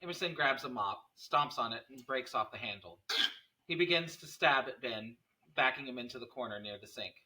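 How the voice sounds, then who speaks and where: A man's voice: medium pitch, lively intonation, brisk, bright, forceful tone, distant mic, low-quality recording. A man reading stage directions as scripted narration at a screenplay table read.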